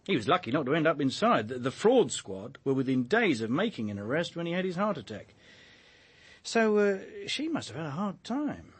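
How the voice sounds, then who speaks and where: Husky, wry police detective, lively delivery. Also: male voice, a little high, normal speed. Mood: wry, musing. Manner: hesitant, wry, colloquial. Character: police detective, chatty, wry, briefing a colleague. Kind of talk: naturalistic radio drama acting.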